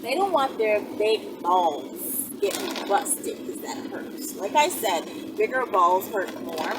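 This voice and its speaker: A woman's voice: mid-range voice, very animated, slow pace. A woman chatting casually and candidly to viewers as a vlogger, in a rambling, playful, slangy way. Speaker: vlogger answering viewer questions. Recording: noisy, distant mic.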